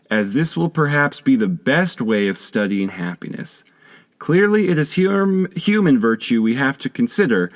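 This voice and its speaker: A man narrating a philosophy audiobook in a measured, formal, lecturing manner. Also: medium pitch. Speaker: audiobook reader. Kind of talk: scripted narration of a philosophy text.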